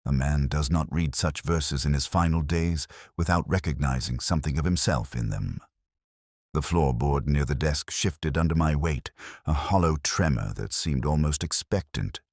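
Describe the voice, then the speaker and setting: A man narrating a scripted first-person detective story in a measured, solemn, literary manner. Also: very deep voice, a little mellow, dry recording, clean studio sound.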